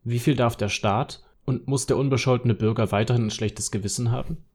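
A man reading as a questioning social media commenter in a scripted radio collage, measured and rhetorical. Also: medium pitch, fairly even intonation, darkish tone, natural voice.

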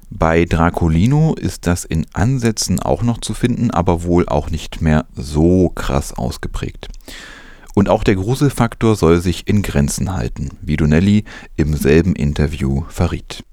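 A man's voice: sits low, darkish tone, dry recording, studio quality. A man presenting news, reading from a script in a narration style.

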